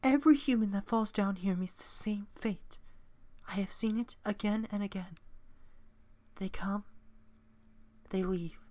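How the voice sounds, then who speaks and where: A woman's voice: medium pitch, a little mellow. A woman voicing a motherly goat monster caretaker from a video game, formal, careful and maternal. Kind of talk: video game character impression.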